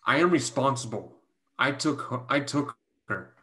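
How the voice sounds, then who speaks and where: Protective young Chinese man for a stage drama, male reader: sharp, bright tone, plain-spoken, formally phrased, video-call sound. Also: mid-range voice, animated, moderate pace. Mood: guarded, proud. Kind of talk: staged play reading over video call. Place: home room on video call.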